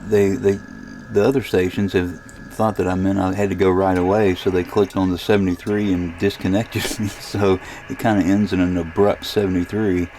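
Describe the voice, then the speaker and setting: Low, gravelly man demonstrating software. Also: flat delivery, normal speed, few pauses, a little hiss or hum. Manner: hesitant, rambling, thinking aloud. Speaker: amateur radio operator demonstrating software. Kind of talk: casual screen demonstration narration.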